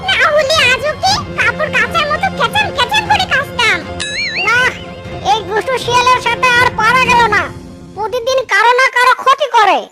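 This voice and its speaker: An actor voicing an angry, vengeful cartoon dinosaur in a threatening, scolding manner. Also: very high voice. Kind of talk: exaggerated children's cartoon acting. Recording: music behind the voice.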